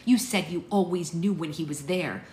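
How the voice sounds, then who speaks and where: A woman's voice: on the low side, expressive, normal speed, close to an everyday voice. Woman acting as storybook heroine, hot-tempered grown daughter, blunt, wounded, emphatic, roomy sound. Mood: accusing. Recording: roomy, faint background noise.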